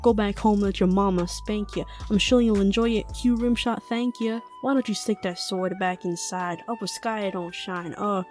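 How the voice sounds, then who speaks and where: Woman plays boastful young male cartoon rapper; medium pitch, rhythmic swagger, backing music. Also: steady tone, moderate pace, few pauses, darkish tone, lightly acted. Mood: cocky. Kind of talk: comic rap performance.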